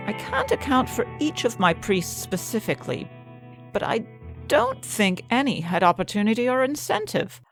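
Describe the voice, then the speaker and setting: A woman's voice: fairly high pitch, very animated, normal speed, soft background music. A woman playing a hard, devout middle-aged prioress, stern and weary, firm and forthright in a dramatized fantasy audiobook. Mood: firm, resolute.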